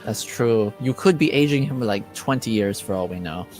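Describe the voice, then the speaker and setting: A man's voice: fairly low pitch, lively intonation, normal speed, darkish tone, faint music behind, lightly acted. A man playing a curious, playful young noblewoman in a tabletop game, casual and impish. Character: curious, playful young noblewoman, eavesdropping little sister type.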